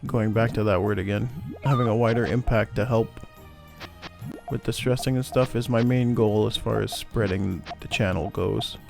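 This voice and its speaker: Calm soft-spoken young man, gravelly. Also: on the low side, moderate pace, faint music behind. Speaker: gaming channel host. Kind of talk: relaxed gameplay commentary.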